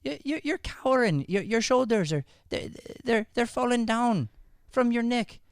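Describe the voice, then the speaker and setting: A man's voice: extremely high pitch, lively intonation, moderate pace, clean tone, dry recording, studio quality. A man voicing an encouraging Irish teacher, giving instructions and repeating himself in improvised radio comedy. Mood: instructing, firm. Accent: Irish, put on. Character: coaxing, encouraging Irish teacher of shy youngsters. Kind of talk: improvised old-time radio comedy play.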